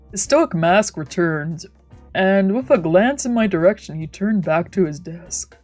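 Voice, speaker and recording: A woman's voice: on the low side, expressive, takes it slowly, a little mellow, soft background music. A woman doing a playful let's play, reading visual novel text aloud and reacting casually. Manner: playful, giggly. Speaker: streamer.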